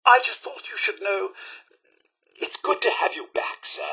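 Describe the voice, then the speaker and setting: A prim, loyal robot butler from a video game, speaking in a high British voice with a raspy edge. The delivery is theatrical and swoops widely in pitch. Every phrase is formal and fussy, as from a servant who is easily wounded. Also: male voice, animated, slow pace, bright, forceful tone, heavily acted, rough recording. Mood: courteous, warm. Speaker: companion character in a video game.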